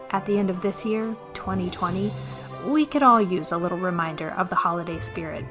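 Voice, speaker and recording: A woman giving scripted narration as host and storyteller of a radio theater show. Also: fairly low pitch. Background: music.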